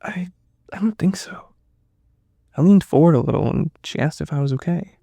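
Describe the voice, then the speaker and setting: A man's voice: fairly high pitch, animated, moderate pace, vocal fry, dark tone, no room sound. A man playing a confused, shaken survivor under questioning in a naturalistic audio drama, hesitant and stammering as he speaks.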